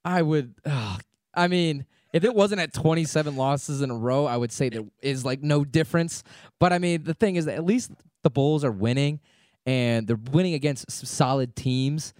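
American male sports radio sidekick, casual banter, somewhat raised pitch, close dry studio sound. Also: normal speed, darkish tone, dry recording. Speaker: radio show producer and sidekick.

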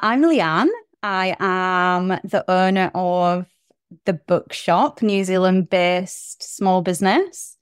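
A woman's voice: fairly low pitch, slow pace, clean tone, no room sound, clean studio sound. A British woman in her thirties, chatting enthusiastically as a podcast guest about her small business. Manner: chatty and enthusiastic, laughs easily. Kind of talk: casual interview chat.

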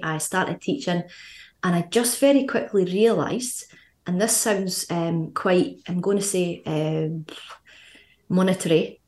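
A woman in her thirties with a Scottish accent, chatting as a guest on a podcast, quick and full of fillers. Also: lowish voice, darkish tone, echoey room. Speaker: guest, fitness coach. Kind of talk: casual podcast interview.

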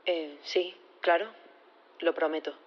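Casting: nervous female professor testifying; lowish voice, halting and unsure, naturalistic audio drama, slightly dull sound. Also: steady tone. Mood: nervous, tender. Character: nervous, hesitant female science professor on witness stand. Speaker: actor in audio fiction.

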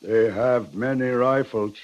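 An actor playing a solemn, wary Sioux leader in a 1950s radio western, speaking terse, halting broken English. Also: male voice, mid-range voice, lightly acted. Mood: solemn, reluctant. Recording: muffled old radio recording.

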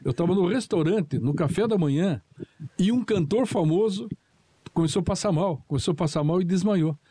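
An older man, a former TV director, telling lively anecdotes and acting out the orders he once gave. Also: high voice, moderate pace, breathy edge, no room sound. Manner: lively, acts out shouted commands in retelling. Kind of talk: anecdotal storytelling.

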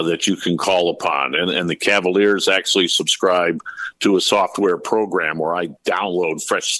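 A man's voice: low-pitched, bright, forceful tone, no room sound. A man in his fifties, a sports broadcaster, explaining his work in detail in a conversational podcast interview. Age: 50s. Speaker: basketball radio play-by-play broadcaster, interview guest.

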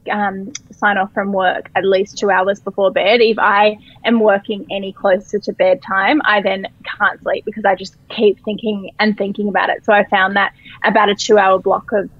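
A woman with an Australian accent and a croaky voice, a company co-founder chatting as a podcast guest. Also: medium pitch, little pitch movement, normal speed, no echo, narrow, tinny audio. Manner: chatty, upbeat, repeats words. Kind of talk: casual podcast interview.

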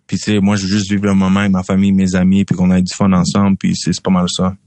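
A young man speaks with a Québécois French accent, and his voice sits fairly low. He answers in a relaxed way, with lots of fillers and restarts. The sound is dry and close. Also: fast talker, no echo. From Quebec. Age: young adult. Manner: relaxed, repeats words, many fillers. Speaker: football draft prospect guest. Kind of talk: casual interview answers.

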